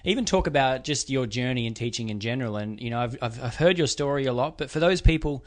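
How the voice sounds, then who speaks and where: A man's voice: medium pitch, animated, fast talker. A man hosting a casual interview, conversational and encouraging with his guest. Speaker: podcast host, interviewer.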